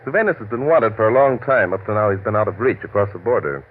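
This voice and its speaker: An actor playing a polite, probing criminologist in classic radio drama style, measured and slyly questioning. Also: male voice, fairly low pitch, expressive, natural voice. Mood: calm, probing. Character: calm, probing male criminologist on a killer's trail. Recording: muffled, lightly noisy vintage radio recording.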